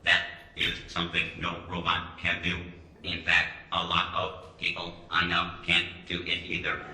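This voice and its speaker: A radio-drama robot, low and completely flat in pitch, formal and measured. The tone is hard-edged and bright, earnest and politely proud, in a roomy echo. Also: male voice, full character voice. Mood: polite, matter-of-fact. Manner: measured, formal, flatly literal. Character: earnest early semi-autonomous robot, literal-minded and politely proud.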